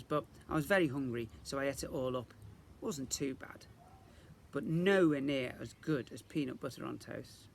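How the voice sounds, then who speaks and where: A man reading a children's story aloud as a teacher would, in an expressive narrating style. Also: medium pitch, gravelly, a little hiss or hum.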